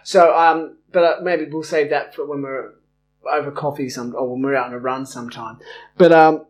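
A man's voice: fairly high pitch, steady tone, normal speed. A male podcast host asking questions in a friendly, rambling way, with many "um"s and restarts. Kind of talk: casual podcast interview.

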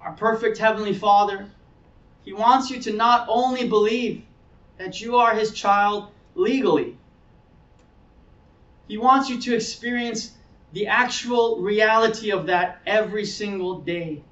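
A man's voice: high voice, unhurried, fairly bright tone. A man preaching a sermon as a pastor, teaching conversationally and earnestly, repeating phrases for emphasis. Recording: roomy, distant mic, dull sound.

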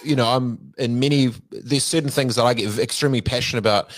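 A man's voice: mid-range voice, quick pace, dry recording. A man interviewing a guest, thinking aloud with many fillers before asking probing questions. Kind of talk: interview conversation.

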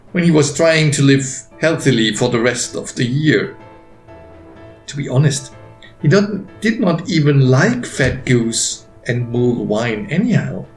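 A man narrating a written story in a deliberate, expressive way with pauses, as an author reading his own work. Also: on the high side. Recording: roomy echo, faint music behind.

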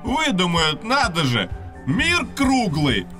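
An actor playing an ignorant, bloodthirsty shopkeeper ranting indignantly in a tavern in a radio play. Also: male voice, high-pitched, huge pitch swings, takes it slowly, over music, heavily acted.

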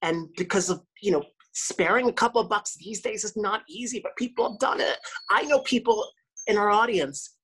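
A woman's voice: mid-range voice, normal speed, fairly bright tone, husky, low-quality recording. A woman radio host, a guest on a podcast, talking in a chatty, rambling way with many restarts. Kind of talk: casual interview talk.

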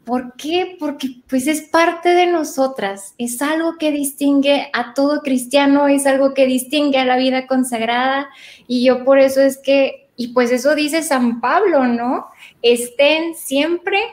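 Woman, interview host, high voice, playful, bubbly. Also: fairly even intonation, a little slow. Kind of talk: casual interview hosting.

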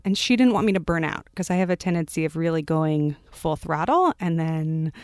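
Animated woman in her thirties. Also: low-pitched, moderate pace, dry recording, studio quality. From Palo Alto, California. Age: 30s. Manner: animated storyteller, acts out quotes. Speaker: podcast guest. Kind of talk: casual interview storytelling.

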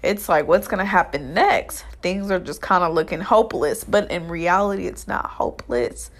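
A woman's voice: lowish voice, normal speed. A young woman with a stuffy, allergy-blocked nose, giving an uplifting motivational monologue that repeats its phrases and stretches out words. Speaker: vlogger.

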